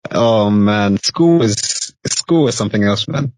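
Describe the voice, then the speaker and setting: A man's voice: fairly low pitch, lively intonation, measured pace, no echo, rough recording. A young man hosting a casual podcast interview, laid-back and slangy, laughing often. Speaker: podcast host.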